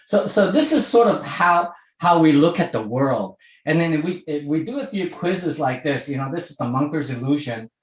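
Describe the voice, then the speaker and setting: A man's voice: a little high, lively intonation, moderate pace, hardly any pauses, reverberant, poor audio. A man in his sixties from Hong Kong giving a conversational talk to an online audience, often checking in with "okay?". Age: 60s. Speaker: speaker. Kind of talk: presentation to a virtual audience. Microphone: video call.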